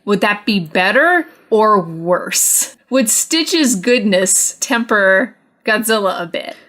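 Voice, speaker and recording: A woman's voice: mid-range voice, animated, slow, fairly bright tone. A woman chatting casually on a podcast panel in a playful, blunt way. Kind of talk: casual chat.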